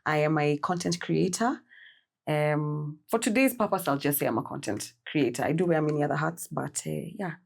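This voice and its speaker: Host, woman, 30s, low voice, easygoing and guiding. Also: animated, normal speed, vocal fry. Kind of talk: casual chat.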